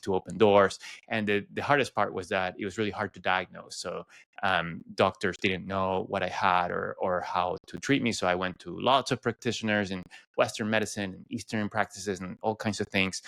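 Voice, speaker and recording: Smooth, low-voiced man from Venezuela. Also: fairly bright tone, dry recording. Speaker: podcast guest. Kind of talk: personal storytelling in an interview.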